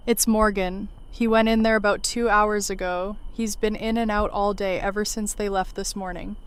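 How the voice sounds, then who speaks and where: A woman's voice: mid-range voice, fairly even intonation, moderate pace, clear, resonant tone, lightly acted. A woman playing a blunt, affectionate survivor in an audio drama. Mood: matter-of-fact. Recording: faint background noise and ambience.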